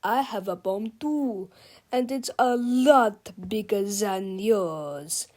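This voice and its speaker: A woman voicing a giant, bossy male villain in a read-aloud storybook, with a drawn-out, growling, domineering manner. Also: mid-range voice, a little mellow, close to an everyday voice. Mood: menacing.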